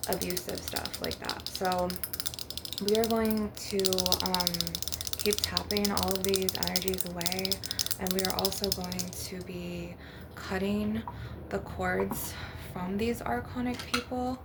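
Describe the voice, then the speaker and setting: A woman with heavy vocal fry hosts a rambling, casual ASMR monologue. Her intonation stays fairly even as she keeps checking in with the listener. The recording is noticeably noisy, with clicks and taps on crystal and glass. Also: mid-range voice, takes it slowly. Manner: casual, repetitive tag questions, frequent swearing. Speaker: host of an ASMR channel. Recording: noisy, with clicking and tapping sounds. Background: crystal and glass tapping.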